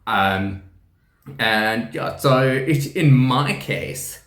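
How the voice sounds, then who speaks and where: A man's voice: mid-range voice, lively intonation, drawn-out words, fairly bright tone. A man in his thirties with a South African accent, deaf and using hearing aids, explaining his experience in a rambling, casual way with frequent ums, restarts and laughter. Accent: South African English. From South Africa, KwaZulu-Natal. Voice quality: speech shaped by profound hearing loss. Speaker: deaf teacher and rugby player presenting a channel. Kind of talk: casual explanatory talk to camera. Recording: echoey room.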